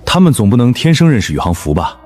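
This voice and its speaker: A man voicing a sharp, probing teammate who questions things in an animated survival drama. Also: medium pitch, quick pace, soft background music.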